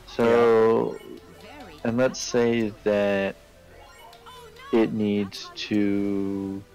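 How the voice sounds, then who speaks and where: Low, creaky-voiced man chatting casually. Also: level tone, darkish tone. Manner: rambling, halting explanations. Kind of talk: casual chat. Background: faint music.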